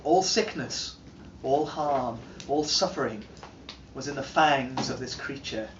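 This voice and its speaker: A man giving a dramatic reading of an old charm in a large hall, declaiming slowly and swelling to loud peaks. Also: medium pitch, background noise. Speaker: storyteller. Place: large timber hall, indoors. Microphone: camera filming from across the room. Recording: distant, roomy and dull.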